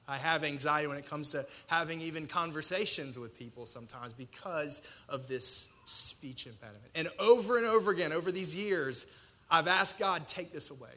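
A man preaches to an audience in a sharp, bright voice pitched a little high. He speaks colloquially and pokes fun at himself, leaning on dramatic pauses and emphasis. A slight stutter runs through his speech. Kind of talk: personal testimony sermon to an audience.

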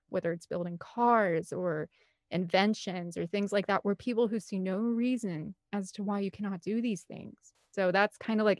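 American woman, 30s, mid pitch, hyper and enthusiastic casual interviewee, rambles. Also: no echo, studio quality. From American Midwest. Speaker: guest, film composer and director.

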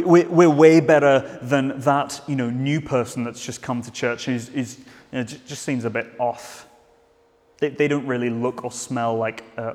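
A man's voice: medium pitch, long reverb tail. A man preaching a sermon in a church, earnest, with frequent restarts and fillers.

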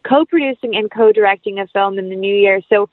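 A woman chats casually as an interview guest, heard through dull, lo-fi audio with little room sound. Her pitch is middling for a woman. She rambles in a talkative, self-deprecating way. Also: a little fast, no room sound. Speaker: actress, producer and interview guest. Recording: dull, lo-fi, band-limited audio.

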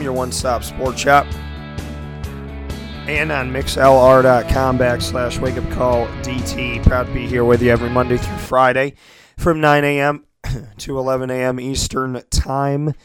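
A man's voice: mid-range voice, flat delivery, moderate pace, music in the background. A man hosting his own sports talk show while losing his voice, speaking earnestly and gratefully with many restarts. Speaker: sports talk radio host. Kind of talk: solo internet radio show monologue.

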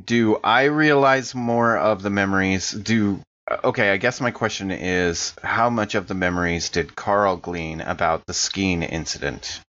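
A man's voice: deep voice, unhurried, a bit bright, muffled recording. A man playing a tabletop game, improvising his character's actions in a casual, conversational way.

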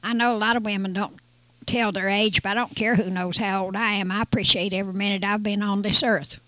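A woman's voice: mid-range voice, steady tone, a little fast, a bit bright, no echo. An elderly woman in her eighties speaks plainly and earnestly. Her voice is recorded dry and close, with no room sound around it. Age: 80s. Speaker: guest, host's grandmother. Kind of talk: casual chat.

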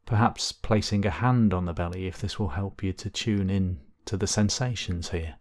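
Guy with a fairly low voice doing a guided meditation, gentle and unhurried, leaves long gaps. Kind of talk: guided body scan meditation.

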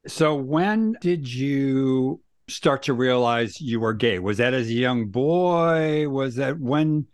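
A man's voice: medium pitch, takes it slowly, dry recording. Male interviewer with a dry, close sound. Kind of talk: conversational interview.

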